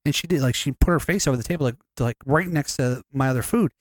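A man's voice: medium pitch, quick pace, no echo, studio quality. A man co-hosting a podcast, chatting casually in a rambling way, swearing freely and restarting his sentences. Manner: rambling, profane, many restarts. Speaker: podcast co-host. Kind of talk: casual chat.

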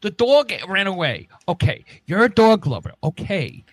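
A man's voice: sits high, sing-song, moderate pace, dry recording. A man co-hosting a comedy podcast, reading odd news with sweary, teasing, casual banter.

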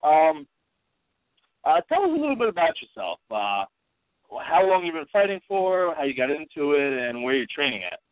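A man's voice: fairly high pitch, very animated, no echo. A congested man hosts a casual call-in podcast, stumbling through fillers and restarts. His voice comes over a tinny, narrow phone line. The sound is dry and close, with no room at all. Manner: halting, many fillers and restarts. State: stuffy from allergies and a cold. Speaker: podcast host.

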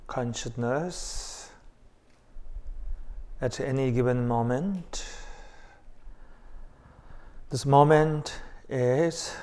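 A man leading a guided meditation, speaking in short phrases with long pauses. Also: medium pitch, a little mellow.

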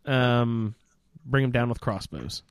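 A man's voice: medium pitch, expressive, slow pace, smooth, no echo, studio quality. A man chatting casually and enthusiastically as a panelist on a gaming roundtable podcast.

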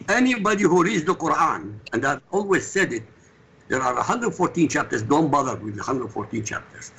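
A man's voice: on the high side, fairly bright tone, a little hiss or hum, poor audio. A man with an Iraqi Arabic accent, a native Arabic speaker, talking as a radio guest in an emphatic, insistent way that lectures and cuts in. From Iraq. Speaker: guest commentator. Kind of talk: heated radio talk.

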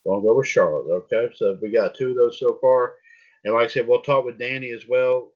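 A man's voice: medium pitch, a little fast, highs cut off. A man hosting a casual wrestling talk show, rambling and repeating words and filler as he talks. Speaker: podcast host.

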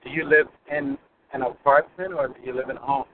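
A man's voice: mid-range voice, steady tone, brisk. Rambling male caller on a phone. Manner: rambling, many restarts. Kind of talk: call-in question. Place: car, driving to work. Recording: phone line with faint noise.

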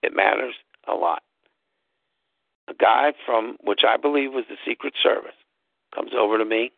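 A man's voice: mid-range voice, normal speed, vocal fry, dry recording. A man who hosts a talk show, telling a story in an emphatic way, spelling words out and repeating himself for stress. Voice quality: loud voice. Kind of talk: casual storytelling monologue. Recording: tinny phone-like line, dry.

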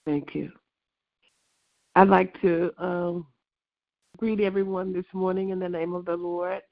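Hoarse voice leading prayer over a phone line. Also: medium pitch, level tone, dry recording. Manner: measured litany with pauses and fillers. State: hoarse and congested. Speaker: prayer call leader. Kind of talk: spoken prayer on a call. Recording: tinny phone line, very gravelly.

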